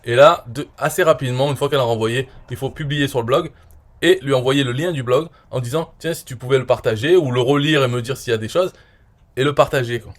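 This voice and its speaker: A man's voice: medium pitch, fast. Rambling French man, casual explainer. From France. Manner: rambling, frequent "euh" and "voilà", trailing off quietly. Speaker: online marketer reviewing his own interview.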